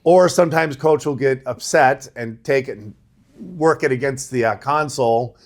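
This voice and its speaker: A middle-aged man with a big voice, a rowing coach answering interview questions in a relaxed, conversational way. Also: medium pitch, normal speed. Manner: conversational, laughs easily, says right? and you know.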